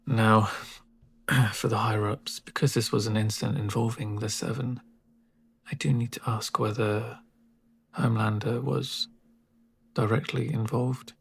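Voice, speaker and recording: Guy acting as a calm asmr medic, super breathy n soft, bit of creak, gentle w dry humour. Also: fairly low pitch, heavily acted. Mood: soothing. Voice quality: breathy, near-whispered. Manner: gentle, unhurried, reassuring murmur. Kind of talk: soft-spoken ASMR roleplay.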